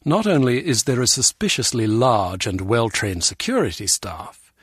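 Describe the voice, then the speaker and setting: A man's voice: medium pitch, sing-song, normal speed, fairly bright tone, a little hiss or hum. A man playing a precise, dry, disdainful intelligence officer in a video game. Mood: dry, skeptical.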